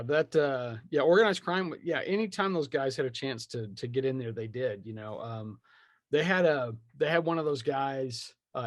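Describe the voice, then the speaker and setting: A man speaks as an opinionated podcast guest in a very dry recording. His voice sits at a middle pitch, and he talks in loose, slangy conversation, often voicing imagined quotes. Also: dry recording. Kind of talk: animated casual conversation.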